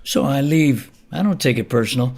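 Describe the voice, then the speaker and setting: A man's voice: medium pitch, moderate pace, a little mellow, natural voice. An actor playing a chatty, folksy older neighbor who tells his story in a colloquial, easygoing way in an audio play. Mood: animated, bemused. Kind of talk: theatrical monologue in an audio play.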